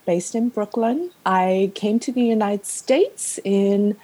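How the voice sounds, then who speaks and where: A woman's voice: medium pitch, takes it slowly, mellow. A woman in her fifties from Vietnam, Vietnamese her first language, answering a remote interview thoughtfully with many fillers. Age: 50s. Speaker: guest, novelist.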